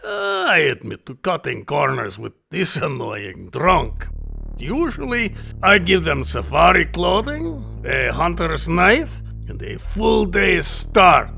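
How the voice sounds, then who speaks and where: Gravelly, courtly villainous hunter. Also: male voice, fairly high pitch, huge pitch swings, sharp tone, soft background music, heavily acted. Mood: gloating, amused. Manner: courtly, unhurried, condescending, menacingly polite. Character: genteel, sinister male villain, sadistic aristocratic big-game hunter. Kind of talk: audio drama acting.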